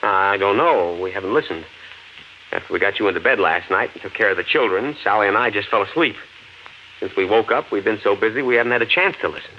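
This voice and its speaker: A man's voice: on the low side, lively intonation, quick pace, close to an everyday voice. An actor playing a practical, skeptical, protective man in a 1950s radio thriller. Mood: matter-of-fact. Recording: noisy lo-fi 1950s radio recording.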